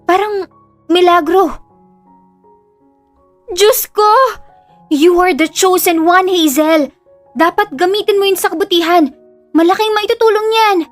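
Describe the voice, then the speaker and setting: A woman playing an excitable, loyal young best friend in an audio drama, with a Filipino accent and exclamatory, slangy Taglish delivery. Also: extremely high pitch, unhurried, pauses often. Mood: amazed. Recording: music under the voice.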